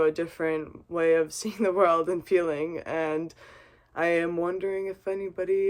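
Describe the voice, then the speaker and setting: Rambling woman musing after a drink. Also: medium pitch. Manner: rambling, earnest, swears and laughs often. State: has been drinking vodka. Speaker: vlogger. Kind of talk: casual reflective monologue.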